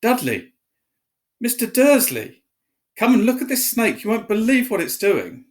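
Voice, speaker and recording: A man voicing an excitable young boy who calls out urgently in a storybook reading. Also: high voice, a little slow, pitched above the performer's own voice. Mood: excited. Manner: shouting, urgent.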